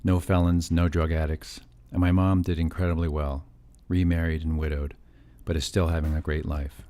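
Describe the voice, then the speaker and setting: A man's voice: extremely low pitch, little pitch movement, normal speed, mellow. A middle-aged man from California narrating his own family story in scripted documentary style, with wry, self-deprecating asides.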